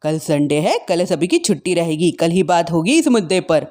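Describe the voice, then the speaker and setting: A woman's voice: lowish voice, big pitch swings, moderate pace, a little mellow, pitched below the performer's own voice. A woman voicing an exasperated elder brother-in-law who complains firmly and is plainly fed up.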